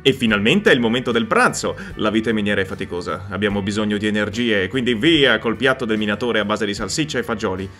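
A man narrating a comic travel show with wry, deadpan jokes. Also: lowish voice, quick pace, hardly any pauses, faint music behind.

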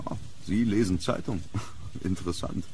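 An actor playing a wealthy, polite but uneasy gentleman archaeologist in a horror audio drama, speaking formally and deliberately. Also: sits low, natural voice. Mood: hesitant, probing. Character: rich, famous, courteous but uneasy English gentleman archaeologist. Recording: noisy recording with background ambience.

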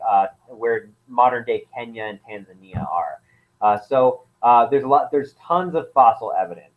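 A man explaining as an anthropologist guest in a casual interview, hesitant, with many fillers and restarts. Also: medium pitch. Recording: tinny phone-like line.

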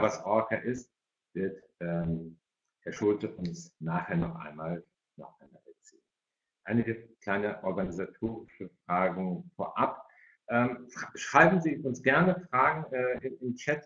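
A middle-aged man moderating an online event over a video call. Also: lowish voice. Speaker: host and moderator. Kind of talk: welcoming and moderating an online parents' evening. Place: indoor office on a video call. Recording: echoey room, dull video-call sound.

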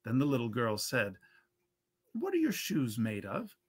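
A man in his fifties reading an old holiday tale aloud in an expressive, measured storyteller's manner. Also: mid-range voice, slightly rough. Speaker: reader at a literary reading series. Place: indoors at a computer, live stream.

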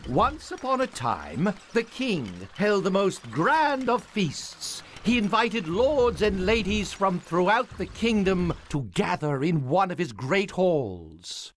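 An actor playing a travelling storyteller telling a tale in a game cutscene. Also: male voice, sits high, sing-song, slight background noise, exaggerated voice. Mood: storytelling. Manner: slow, storytelling. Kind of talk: video game cutscene acting.

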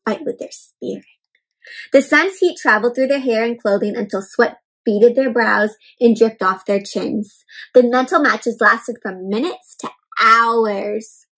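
A young woman with a fairly high, husky-edged voice reads a story aloud for children. She is expressive and emphatic, slowing on key words and stepping out of the narration like a teacher to ask questions and explain. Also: rough recording. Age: young adult. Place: indoor room at home.

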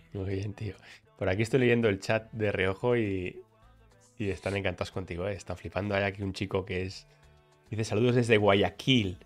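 A man's voice: fairly low pitch, steady tone, normal speed, soft background music. A man in his forties with a Spain Spanish accent, hosting a casual, colloquial interview at his gaming desk with a headset mic. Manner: friendly, colloquial, reads chat aloud. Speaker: streamer host. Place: gaming setup room, indoors.

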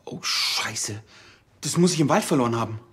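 A man's voice: medium pitch, animated, moderate pace, fairly bright tone, slight background noise, lightly acted. Hesitant, sheepish policeman, played by an actor. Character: sheepish, hapless male junior police officer. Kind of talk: naturalistic TV drama acting.

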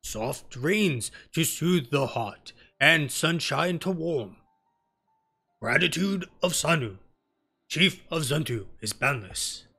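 A man's voice: a little high, animated, slow pace, creaky, pushed and bright, husky, soft background music, exaggerated voice. A man voicing a grave, ceremonious beastman chief who speaks in stately, poetic riddles in a fantasy game. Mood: ceremonious gratitude.

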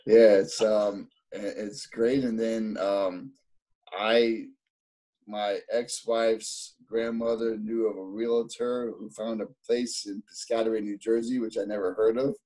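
A man's voice: fairly low pitch, level tone, takes it slowly, warm tone, low-quality recording. A man in his fifties from New York City with a New York accent, a podiatrist guest chatting casually on a video call, rambling with many fillers. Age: 50s. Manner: rambling, many fillers and restarts, laughs easily. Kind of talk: casual interview. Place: indoor office.

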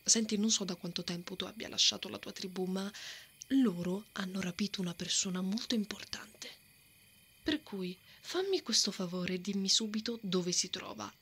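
A woman playing a brave, hot-tempered, bossy female knight in an ASMR roleplay, often breathy and muttering threats to herself. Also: medium pitch, moderate pace, a bit bright. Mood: menacing. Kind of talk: ASMR roleplay, acted monologue. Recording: noticeable outdoor ambience effects behind.

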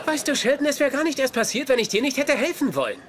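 A man's voice: very high voice, big pitch swings, fast, background noise. A man playing a flustered, whiny young husband in a dubbed sitcom, defensive and wheedling. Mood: resentful. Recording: TV sitcom audio with laughter.

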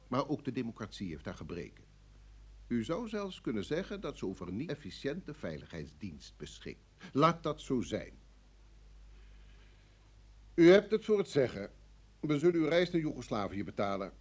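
A man's voice: mid-range voice, sing-song. A male security service official in a radio drama. He speaks in a formal, insistent way, coolly coercive. His mid-range voice moves widely up and down for emphasis. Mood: smooth, controlled. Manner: formal, smoothly insistent, measured. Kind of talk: radio play acting.

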